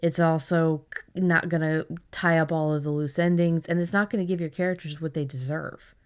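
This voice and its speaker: A woman's voice: deep voice, steady tone, fairly quick, smooth. A woman co-hosting a casual conversation about writing, talking candidly and with some self-deprecation. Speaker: podcast co-host and fiction writer.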